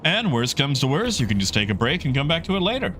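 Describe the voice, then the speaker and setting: A man's voice: on the high side, very animated, quick pace, background noise. A man hosting a livestream, chatting casually and in a rambling, conversational way with his viewers. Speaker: livestream host.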